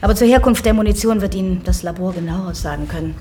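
A woman's voice: mid-range voice, fast, warm tone, slight background noise, close to an everyday voice. A woman playing a young, single-minded army pathologist in a radio drama, clinical and matter-of-fact. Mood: clinical, firm. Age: 30s.

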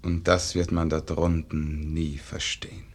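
An actor playing a grave disciple of a painter in a 1950s radio verse drama, declaiming solemn stage German. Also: male voice, rumbling low, level tone, gravelly, breathy edge, heavily acted. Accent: standard stage German. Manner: solemn, measured. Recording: 1950s radio recording, faint noise.

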